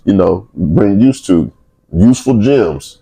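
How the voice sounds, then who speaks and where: Male podcast host with a deep voice, easygoing and laughing a lot, lively up-and-down delivery. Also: slow pace, creaky, slightly rough. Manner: laughs often, relaxed and upbeat. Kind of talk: casual interview chat.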